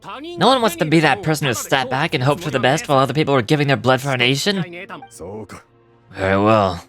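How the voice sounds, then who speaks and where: A man voicing a resolute war leader from a fantasy game, with a medium-pitched voice. He speaks earnestly and deliberately, like a commander, over prominent music. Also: animated, close to an everyday voice. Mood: rousing, determined. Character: resolute male war leader rallying his fighters. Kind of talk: dramatic fantasy game voice acting.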